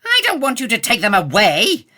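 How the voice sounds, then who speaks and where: A snobbish, irritable British nobleman in a comic audio play. The voice is bright and cutting, swinging widely in pitch, with florid old-fashioned phrasing and open contempt. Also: wildly swooping pitch, normal speed, heavily acted. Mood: exasperated. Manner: pompous, florid archaic insults. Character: haughty, irritable lord who scorns peasants. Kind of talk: comic period audio drama acting.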